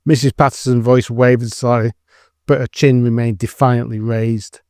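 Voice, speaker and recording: A man's voice: mid-range voice, level tone, moderate pace, darkish tone, dry recording, clean studio sound. A man reading scripted dramatic narration as the narrator of an audio drama.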